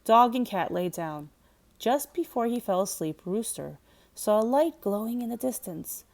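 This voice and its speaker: A woman's voice: mid-range voice, lively intonation, normal speed, gravelly, a little mellow. A woman teacher reading a children's storybook aloud as narrator.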